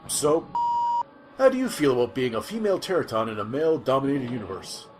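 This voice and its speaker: A man's voice: mid-range voice, sing-song, fairly quick, natural voice. A man playing a clueless, pushy field reporter in a comic sci-fi audio drama. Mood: incredulous. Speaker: news reporter interviewer.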